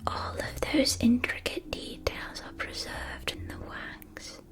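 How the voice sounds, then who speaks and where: A woman playing a gracious, gushing shopkeeper of witch school supplies in an ASMR roleplay, speaking in a soft, breathy whisper with genteel, formal phrasing. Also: lightly acted. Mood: gracious, attentive. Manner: genteel, unhurried, formal old-fashioned wording.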